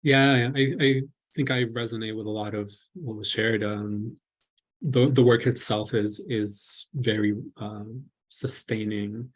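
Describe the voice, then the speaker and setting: A man's voice: on the low side, fairly even intonation, takes it slowly. A man from Colombia speaking on a panel over a video call, hesitant and full of ums and restarts. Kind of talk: reflective panel talk.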